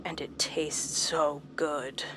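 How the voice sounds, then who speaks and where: A woman voicing a sadistic male doctor who savors fear, speaking slowly and in a hushed, gloating way in a horror audio drama. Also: low-pitched, level tone, a little hiss or hum, rough recording.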